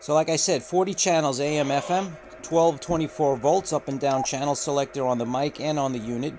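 A man walking through a radio unboxing, talking unhurriedly with frequent filler pauses, recorded indoors at a table on the camera's mic. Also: on the high side, fairly even intonation, darkish tone, a little hiss or hum. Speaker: radio reviewer. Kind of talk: unboxing walkthrough.

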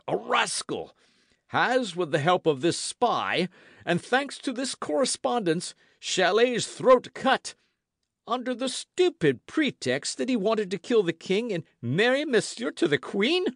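A man voicing a boastful, loud musketeer in an audiobook, theatrical and full of exclamations. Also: high voice, no room sound, studio quality, pitched above the performer's own voice, full character voice. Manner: theatrical, exclamatory, swooping emphasis. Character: boisterous, boastful swaggering musketeer, loud and self-satisfied.

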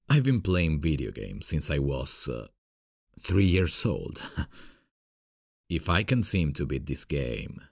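An extremely low-pitched, husky man's voice as a male voice actor plays it in an ASMR boyfriend roleplay. He is a playful, cocky gamer who takes his time over his words and sounds close and dry. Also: no echo, full character voice. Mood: teasing, cocky. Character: playful frustrated gamer boyfriend, grown man, cocky but affectionate.

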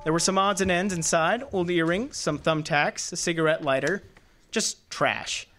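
A man's voice: a little high, normal speed, a bit bright, faint music behind, close to an everyday voice. A man playing a tough, wisecracking detective's assistant in an old-time radio drama, talking in breezy hard-boiled slang. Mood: hard-boiled narrating.

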